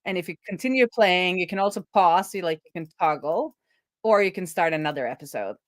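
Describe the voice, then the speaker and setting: A woman's voice: on the low side, lively intonation, a little fast, no room sound, clean studio sound. A middle-aged woman chatting casually on a coding livestream as its host, thinking aloud in an informal way. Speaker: live coding streamer and host.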